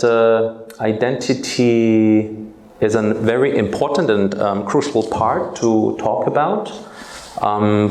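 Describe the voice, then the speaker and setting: A man in his forties from Germany, a native German speaker, giving a hesitant talk to students in a school auditorium, pausing often on filler sounds. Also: on the low side, creaky, a little mellow. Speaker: guest speaker. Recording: echoey hall.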